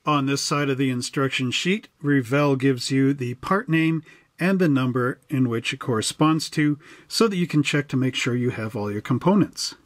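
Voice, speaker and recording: A man's voice: medium pitch, normal speed, no echo, studio quality. A middle-aged man, chatting in a folksy, informal way as he hosts a casual hobby walkthrough. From western Canada. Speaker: host of a model kit channel. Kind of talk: casual unboxing and instruction walkthrough.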